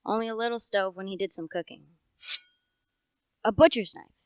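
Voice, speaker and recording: Teen girl as clear-voiced, opinionated rustic constable. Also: mid-range voice, steady tone, normal speed, dry recording, lightly acted. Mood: excited, certain. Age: teenager. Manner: folksy, insistent, indignant. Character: opinionated, suspicious rustic village constable named Joe. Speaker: actor in a student radio play.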